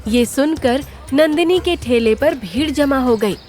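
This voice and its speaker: Female narrator for animated folk tales: high register, scripted storytelling, faint music bed. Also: a little slow.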